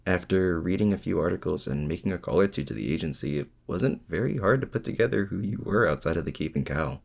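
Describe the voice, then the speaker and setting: A man's voice: sits low, animated, vocal fry, heavily acted. A man acting in an intimate audio roleplay as a lonely, awkward supervillain and mad scientist, speaking in a hesitant, sincere way. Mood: awkwardly chatty. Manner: hesitant, halting, softly sincere.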